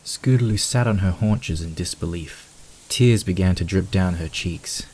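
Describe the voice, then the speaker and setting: A man's voice: low voice, a little slow, darkish tone, husky, low-quality recording. A man reading scripted story narration as the narrator of a piece of fan fiction.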